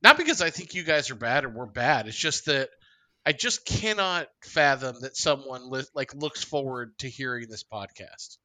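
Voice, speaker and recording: A man's voice: mid-range voice, lively intonation, moderate pace, bright, forceful tone, no echo. A man co-hosting a podcast in casual chat, with a self-deprecating way of talking. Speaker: podcast co-host.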